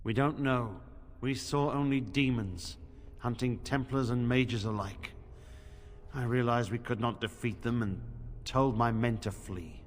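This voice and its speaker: A man's voice: medium pitch, natural voice. Man acting a stern templar commander in an echoing hall. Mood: grave, stern. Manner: grave, formal, deliberate. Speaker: game character voice actor. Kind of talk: fantasy video game dialogue. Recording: reverberant stone hall, music underneath.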